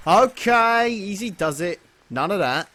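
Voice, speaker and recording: A man's voice: high voice, animated, a little slow, fairly bright tone. A man playing a weary, resentful miner and father. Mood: gruff. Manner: gruff and resentful.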